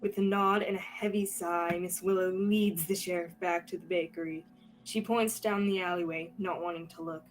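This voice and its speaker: A woman's voice: fairly low pitch, steady tone, moderate pace, faint music behind, poor audio. A young woman reading scripted narration for a radio theatre play. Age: young adult.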